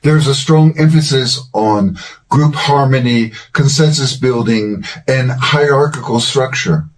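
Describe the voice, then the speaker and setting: An older man hosting a language-learning channel, giving scripted advice in a measured, earnest and encouraging way. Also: mid-range voice, a little hiss or hum.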